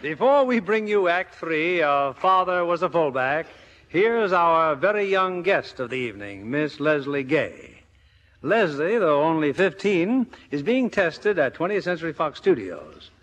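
A polished male host of an old radio show, speaking formally in a highish, lively voice. The recording is muffled, with hall reverb. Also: a little slow, a little hiss or hum. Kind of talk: scripted 1950s radio interview.

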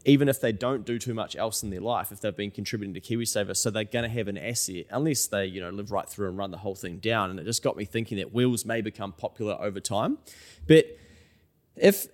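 A man's voice: fairly low pitch, fast, darkish tone. A man in his thirties with a New Zealand accent, hosting a casual chat in an informal, anecdotal, self-deprecating way. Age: 30s. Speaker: interview host.

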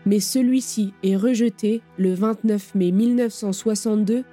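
Warm-voiced woman narrator. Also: medium pitch, normal speed, faint music behind. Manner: clear, even, factual delivery. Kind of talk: scripted documentary narration.

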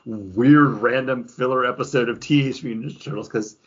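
A man's voice: mid-range voice, fairly quick, husky. A man hosting a podcast, steering the discussion in casual conversation. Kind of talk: casual chat. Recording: dull, lo-fi podcast audio.

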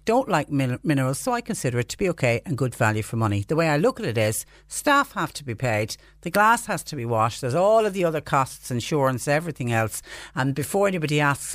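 A woman's voice: low voice, no echo. A woman with a Cork Irish accent presenting local radio, reading out notices and listener messages. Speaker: radio host.

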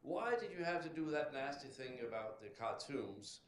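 A man's voice: medium pitch, big pitch swings, moderate pace, reverberant, slight background noise. A man with a Danish accent, an audience member at a panel talk, telling a long story before he asks his question. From Denmark. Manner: rambling, storytelling.

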